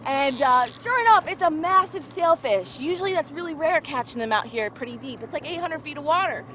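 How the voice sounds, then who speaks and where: Bubbly high-voiced woman on a fishing boat. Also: lively intonation, a little fast, fairly bright tone, slight background noise. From Florida. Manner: bubbly, exclamatory, talks to viewers as guys. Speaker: fishing vlogger. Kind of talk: excited on-camera fishing commentary. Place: open boat out on the ocean. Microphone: action camera held close.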